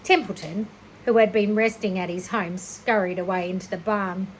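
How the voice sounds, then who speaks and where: A woman reading a children's story aloud like a teacher, expressive and a little unpolished, with small stumbles and self-corrections. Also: mid-range voice, moderate pace, a little hiss or hum, poor audio. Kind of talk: children's storybook read-aloud, narration with character voices.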